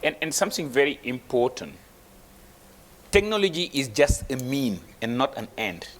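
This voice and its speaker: A man's voice: medium pitch, sing-song, moderate pace. A man speaking as an investment banker on a panel, emphatic, with rhetorical questions and frequent restarts. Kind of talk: motivational panel talk.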